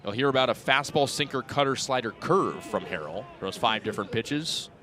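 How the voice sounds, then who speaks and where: A man's voice: medium pitch, lively intonation, normal speed, sharp tone. A man in his twenties doing radio play-by-play commentary of a baseball game. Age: 20s. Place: outdoor ballpark broadcast. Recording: ballpark crowd and music behind. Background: stadium crowd noise and music.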